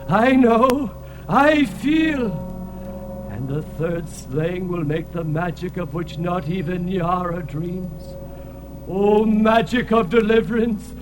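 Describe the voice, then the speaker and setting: A man playing an ancient, tormented winged alien in a fantasy audio drama, speaking in grandiose, archaic laments. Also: high voice. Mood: grave, incantatory. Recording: music laid under the voice.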